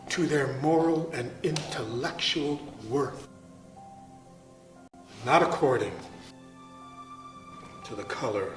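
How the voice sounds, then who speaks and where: A man playing an impassioned American abolitionist, giving an oratorical dramatic reading of his letter with pauses and swells. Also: mid-range voice, lightly acted. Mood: pointed irony. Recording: roomy, distant microphone, music.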